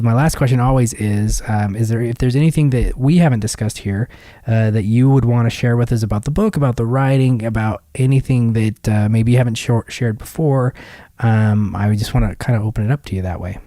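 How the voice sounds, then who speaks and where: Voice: mid-range voice, a little fast, warm tone, no room sound. A podcast host asking rambling, hesitant questions full of fillers in a casual interview.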